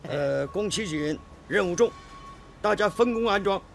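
A man acting a brusque factory foreman in a radio drama, with a gravelly voice and a curt, authoritative manner. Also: high voice, lively intonation, normal speed, soft background music. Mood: commanding. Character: brusque, dismissive male factory team foreman. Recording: clear workshop background noise.

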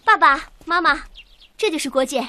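An actress playing a caring, teasing young woman researcher in a Chinese radio drama, expressive and affectionate. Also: sits high, moderate pace, slight background noise. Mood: bright, eager. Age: young adult.

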